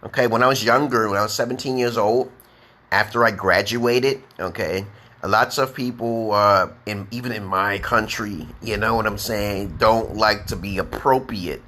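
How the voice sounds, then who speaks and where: A man with a New York accent teaching beginner English on a live stream, speaking slowly and emphatically and checking in with "okay?". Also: fairly low pitch, pushed and bright. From United States. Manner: drawn-out, emphatic, repeats letters and "okay?". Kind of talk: slow deliberate live-stream lesson.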